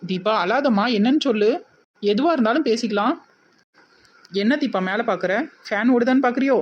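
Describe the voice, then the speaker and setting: A woman's voice: fairly high pitch, moderate pace, dull audio, a little hiss or hum, pitched below the performer's own voice, close to an everyday voice. A woman playing a sarcastic, put-upon village sister-in-law with a southern Tamil Nadu accent, alternating mock concern and wry asides in a comedy skit. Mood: coaxing.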